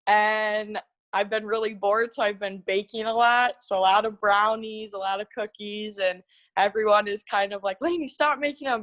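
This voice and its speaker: A woman's voice: mid-range voice, fairly bright tone, no room sound. A woman of about twenty with an American accent, chatting casually as a college athlete guest. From Minnesota, United States. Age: around 20. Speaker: guest, college tennis player. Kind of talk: casual chat.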